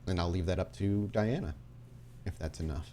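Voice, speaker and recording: A man running a tabletop roleplaying game as game master, telling the story in a dramatic, deliberate way with pauses. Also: sits low, mellow, slight background noise.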